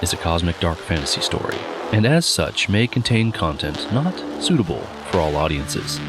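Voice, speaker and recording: Deep-voiced male announcer over loud music. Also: very animated, normal speed. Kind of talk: scripted show disclaimer.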